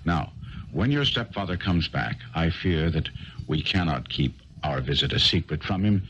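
An actor playing a shrewd, self-assured detective in a 1970s radio drama, speaking with precise, deliberate authority. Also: male voice, low voice, expressive, fairly bright tone. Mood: commanding, instructing. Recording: muffled, noisy old radio broadcast.

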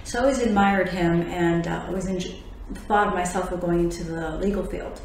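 A woman's voice: lowish voice, steady tone, fairly quick, a little mellow, a little hiss or hum. Woman attorney in an echoey office. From Miami, Florida. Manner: laughs easily, fillers between thoughts. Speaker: attorney and podcast host. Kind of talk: relaxed interview answers. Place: office lounge with large windows. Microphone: tabletop condenser mic. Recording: very echoey room, faint ambience.

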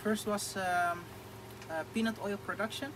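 Dutch-accented young man, halting speech. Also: sits high, steady tone, noisy background. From Netherlands. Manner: halting, many fillers and restarts. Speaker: guest. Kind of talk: casual interview. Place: outdoor thatched shelter.

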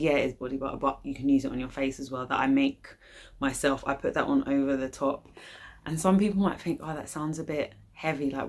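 Casting: young British woman with a low-pitched voice, informal and slangy, talking to camera like a casual vlogger. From United Kingdom. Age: young adult. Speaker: YouTube channel host. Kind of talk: casual vlog chat. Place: living room, indoors.

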